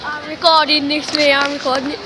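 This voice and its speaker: Excitable high-voiced woman vlogging outdoors. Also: fairly quick. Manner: excitable, repeats exclamations, says mate a lot. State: slightly croaky voice. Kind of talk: excited handheld vlog chatter. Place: outdoor bonfire event with a big crowd. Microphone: handheld phone camera. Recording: outdoor phone audio with crowd and noise. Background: crowd chatter and outdoor noise.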